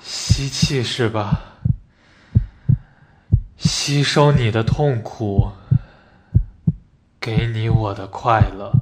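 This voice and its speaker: A man playing a solemn, resigned, tender dying patient in an amateur radio play, speaking in a halting, deliberate way. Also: fairly low pitch. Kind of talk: amateur radio play reading of stage drama. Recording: noisy recording with clicks and throbbing.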